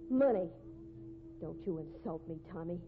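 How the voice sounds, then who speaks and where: An actress playing a tough, jealous, scolding fiancée of a cowboy in a 1950s radio drama, with American accent and blunt folksy slang. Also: female voice, on the low side, animated, normal speed, phone-quality sound. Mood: jealous, demanding. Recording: thin, noisy vintage radio recording.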